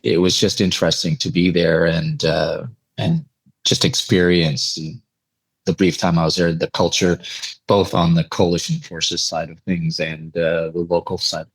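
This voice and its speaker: A man from Eastern Canada speaking as an interview guest, telling anecdotes in a conversational, measured and reflective way. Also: deep voice, level tone, breathy edge, no room sound, rough recording. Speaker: interview guest, air traffic controller telling stories.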